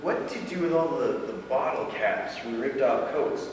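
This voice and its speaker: A man's voice: mid-range voice, animated, moderate pace, lightly acted. Actor as rambling druggie man, echoey distant stage. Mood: teasing, curious. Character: rambling paranoid drug user, teasing and smitten man. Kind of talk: live stage play acting. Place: small theatre stage, recorded from a distance. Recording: echoey, noisy amateur theatre recording from afar.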